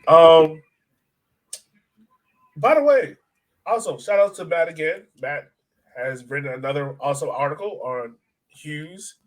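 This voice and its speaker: Higher-pitched man chatting on a sports podcast. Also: measured pace, long pauses, low-quality recording. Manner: informal, enthusiastic fan talk. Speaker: podcast co-host. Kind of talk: casual sports podcast chat.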